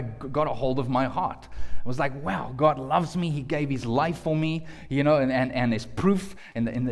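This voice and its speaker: A man preaching a sermon as pastor, earnest, often restarting and repeating words. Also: on the high side. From South Africa. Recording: long hall reverb.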